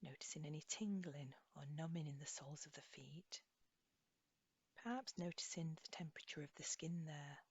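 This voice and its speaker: A woman leading a guided body scan meditation as an instructor, speaking softly and soothingly in an unhurried way, with phrases trailing off breathily. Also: sits low, husky. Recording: slightly dull, echoey room recording.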